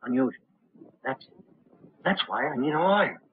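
An older actor playing a blunt, eccentric rich patriarch in a 1930s film. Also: male voice, fairly high pitch, lively intonation, normal speed. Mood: gruff. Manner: gruff, blunt, short sentences. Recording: tinny 1930s film soundtrack with faint hiss.

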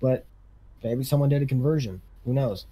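A man's voice: mid-range voice, moderate pace, crowd noise, close to an everyday voice. A man playing an easygoing farm equipment dealership salesman in casual, rambling improvised game role-play. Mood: casual, businesslike.

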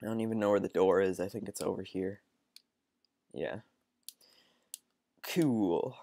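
A sniffly young man with a cold, rambling through casual game commentary with frequent ums and restarts. His voice sits a bit low and sounds close and dry. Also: unhurried, creaky, mellow, dry recording. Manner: rambling, hesitant, lots of ums. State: stuffy with a cold. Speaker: gaming channel host. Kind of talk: casual let's-play commentary.

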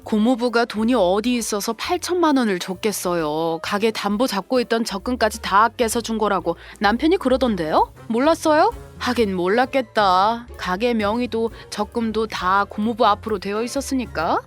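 A woman's voice: fairly high pitch, animated, moderate pace, few pauses, soft background music, close to an everyday voice. A woman playing a composed, sarcastic sister-in-law and protective mother in a scripted audio drama. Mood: coldly vengeful.

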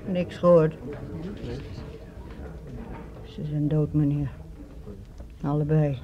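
A dull-sounding, flat, weary old woman. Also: low voice, very slow, noisy background. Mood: numb grief. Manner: flat, resigned, repetitive. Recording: dull radio recording, café chatter behind.

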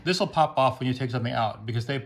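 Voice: medium pitch, quick pace. A host of a gadget teardown video giving casual hands-on commentary in a rambling, wry, mildly profane way. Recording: noisy room, clicking tools nearby.

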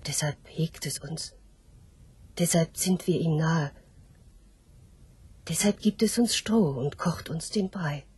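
A philosophical sow in a radio play. Her voice is lowish and very husky, full of breath. She speaks in a solemn, measured way, with quiet hope. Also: full character voice. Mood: hopeful, pondering. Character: hopeful philosophical sow awaiting her disappearance. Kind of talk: literary radio drama acting.

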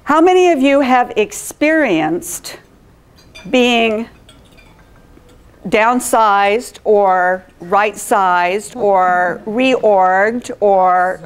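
A middle-aged woman with a big, carrying voice addresses a meeting room without a mic. She speaks slowly and smoothly and keeps drawing the audience in with questions. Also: medium pitch. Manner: engaging, asks the audience questions and checks in. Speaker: presenter at a professional chapter meeting. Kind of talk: live presentation to an audience, asking questions.

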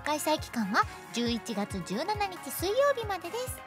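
A woman's voice: high-pitched, sing-song, normal speed. A woman presenting segments and announcements as host of a radio show. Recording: music behind the voice. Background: background music.

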